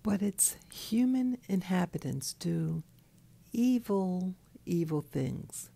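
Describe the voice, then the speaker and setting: A woman who has just woken up, talking in a soft, hoarse, half-whispered voice from her bed, slow and halting, with a clip-on mic. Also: fairly low pitch, expressive. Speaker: channel host. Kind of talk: early morning monologue. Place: in bed at night.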